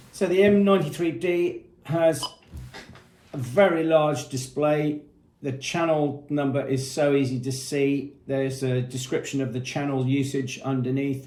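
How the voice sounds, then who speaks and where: A man with a mid-pitched voice gives an unscripted product walkthrough. He explains things methodically and plainly, point by point. The sound is roomy, with clear echo around his voice. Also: darkish tone. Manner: matter-of-fact, methodical, explanatory. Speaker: product presenter.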